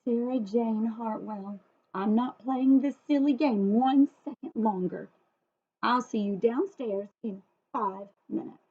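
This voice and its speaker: A woman's voice: on the high side, takes it slowly, breathy edge, exaggerated voice. A woman voicing a patient but firm man in a storybook, coaxing with emphasis. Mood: exasperated, firm. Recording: phone-like, muffled thin audio.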